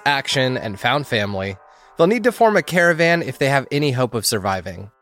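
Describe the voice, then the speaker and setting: Lively-pitched man reading podcast credits. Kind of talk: scripted credits and promo narration.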